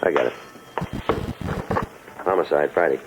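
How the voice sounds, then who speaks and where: A man acting a terse, patient police detective with an American accent, in clipped, deadpan 1950s radio drama style. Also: rumbling low, unhurried. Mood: matter-of-fact, dry. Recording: muffled, noisy old radio recording.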